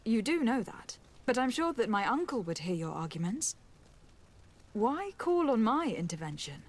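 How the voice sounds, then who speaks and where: An actress playing a composed young noblewoman diplomat in a video game, speaking in a measured, formal and polite way. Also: a little high, very animated. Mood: composed, inquiring. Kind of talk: video game dialogue acting.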